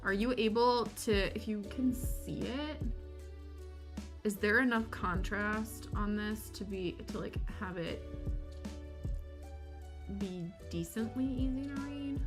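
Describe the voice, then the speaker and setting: A woman's voice: medium pitch, takes it slowly, pauses often. A tired woman with a Michigan accent, chatting casually and rambling as she answers viewers during a livestream. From United States. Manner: rambling, chatty, self-deprecating, answers chat questions. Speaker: livestream host. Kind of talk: casual chat with viewers during a co-working stream. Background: lofi music.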